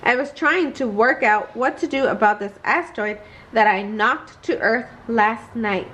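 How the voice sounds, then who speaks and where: Woman reading as Newton Star, modest boy hero, high-voiced. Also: a little slow, a bit bright, a little hiss or hum, rough recording. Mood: earnest, apologetic. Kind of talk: children's storybook read-aloud.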